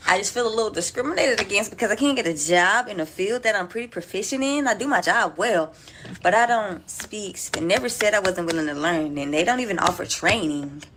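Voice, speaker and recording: A woman's voice: medium pitch, expressive, brisk, background noise. A woman speaking in a social media clip, making a frustrated, pointed complaint.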